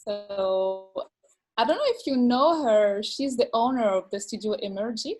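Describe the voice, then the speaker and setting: A woman from France, a dancer and teacher, telling her story in an interview with many pauses, fillers and repeated words. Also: fairly high pitch, darkish tone. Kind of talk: video call interview.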